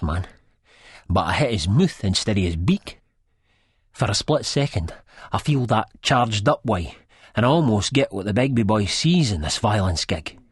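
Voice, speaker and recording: A man's voice: lowish voice, slightly rough, no echo, studio quality. A man with an Edinburgh Scottish accent narrating an audiobook in the first person, dramatically and in dialect.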